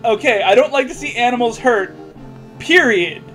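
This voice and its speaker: High-voiced sarcastic man reading aloud over music. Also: lively intonation, normal speed. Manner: sarcastic, reads punctuation marks out loud. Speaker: host reading fan fiction aloud. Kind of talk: mocking read-aloud with casual banter.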